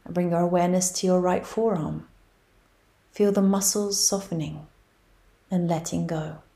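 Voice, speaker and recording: Slow soft-spoken woman guiding meditation. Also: on the low side. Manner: slow, soft and even, with long pauses. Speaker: yoga nidra guide. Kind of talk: guided meditation.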